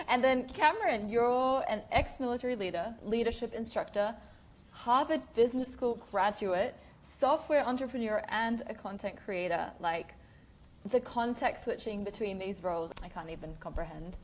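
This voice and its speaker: A woman's voice: on the high side, animated, moderate pace. A woman from New Zealand with an Australian accent, hosting a casual interview and laughing often between questions. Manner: giggly, chatty, lots of fillers. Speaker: podcast host.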